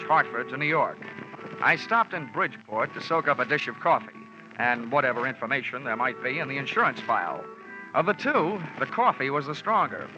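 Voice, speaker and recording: A sardonic, hard-boiled investigator, voiced by an actor in a vintage radio play, delivering dry wisecracks in steady narration. The recording is dull and lo-fi with background music underneath, like an old broadcast. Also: male voice, mid-range voice, lightly acted. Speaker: narrator and lead detective. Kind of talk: 1950s radio drama acting.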